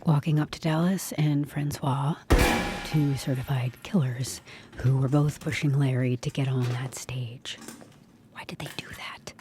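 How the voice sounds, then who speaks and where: A woman's voice: very deep voice, steady tone, unhurried, very noisy. An actress playing a wry, uneasy woman from HR turned amateur sleuth in an audio drama, with an awkwardly breezy manner. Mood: hushed, uneasy. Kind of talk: podcast audio drama acting.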